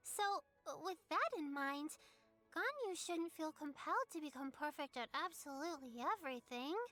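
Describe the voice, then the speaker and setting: A woman's voice: sits high, animated, normal speed, bright, forceful tone, faint music behind. A woman voicing a polite, caring little girl in an anime game, with a small, earnest, childlike voice. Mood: kind, helpful.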